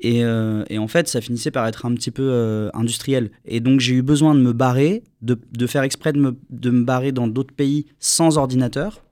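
A man's voice: mid-range voice, moderate pace, hardly any pauses, no echo. Rambling young French man, dry sound. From France. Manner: relaxed, rambling, many fillers and restarts. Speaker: singer guest. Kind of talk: casual interview answers.

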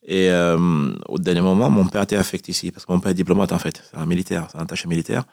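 A man's voice: low-pitched, fairly even intonation, fast talker, vocal fry, a little mellow, no echo, clean studio sound. A man in his forties from Cameroon, telling his own story as a radio interview guest in a conversational, repetitive way. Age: 40s. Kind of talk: spontaneous personal storytelling in an interview.

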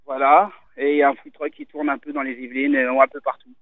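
A man from Italy, a restaurant owner, talking casually on the phone in an interview, relaxed and hesitant with many fillers. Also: mid-range voice, fast. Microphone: telephone. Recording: tinny phone line.

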